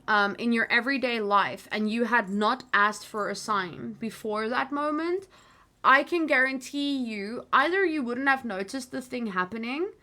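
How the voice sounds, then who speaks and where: Woman, American accent, Afrikaans first language; chatty livestream host, bright tone, wanders off topic. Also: on the high side. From South Africa. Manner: rambling, easily sidetracked, chatty with frequent fillers. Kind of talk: casual livestream monologue.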